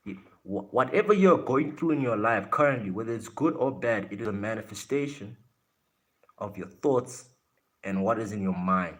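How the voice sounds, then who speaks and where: A fairly low-pitched man, chatting loosely to his livestream audience. He is blunt and brash, swearing and throwing jabs at commenters. The talk is relaxed and casual, aimed at the chat. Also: normal speed. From South Africa.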